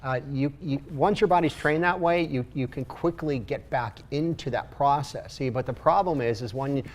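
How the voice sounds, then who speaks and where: A man, the guest in a casual studio interview, explaining in a measured way with frequent restarts. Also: mid-range voice. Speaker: guest nutrition coach.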